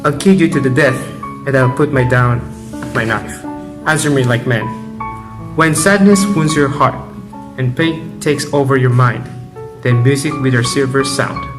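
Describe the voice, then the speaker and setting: Brash, teasing servant for amateur radio drama, male actor, medium pitch, clear music bed. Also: a little slow, pauses often, close to an everyday voice. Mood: taunting. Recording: music playing behind the voice.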